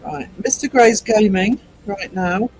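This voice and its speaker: A man in his sixties with a fairly high voice, hosting a livestream in a loose, chatty way. He reads out viewer comments, teases people and laughs often. A faint hiss sits under his voice. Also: a little slow, low-quality recording. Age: 60s. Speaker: livestream host. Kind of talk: casual chat reading viewer comments.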